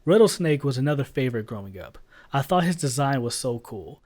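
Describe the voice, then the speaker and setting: Brief: male voice-over reviewer, mid-pitched, blunt and sarcastic, casual. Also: lively intonation, fairly quick, a little mellow. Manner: blunt, sarcastic, swears freely. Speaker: YouTube reviewer.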